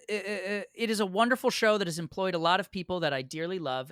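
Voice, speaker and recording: A man's voice: on the high side, bright, forceful tone, clean tone, no room sound, clean studio sound. An American man chatting casually as a podcast guest, emphatic and prone to repeating words. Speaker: podcast guest, theatre performer.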